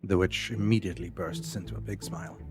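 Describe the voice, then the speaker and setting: A man's voice: low-pitched, steady tone, fast talker. A man narrating a scripted audio drama as its storyteller. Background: music.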